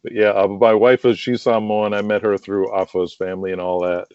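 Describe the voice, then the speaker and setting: A man speaking as a guest in a casual conversational interview, chatty and quick to laugh, on muffled lo-fi audio. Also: fairly low pitch, level tone, darkish tone, smooth, dry recording. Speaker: podcast guest, wrestling promoter.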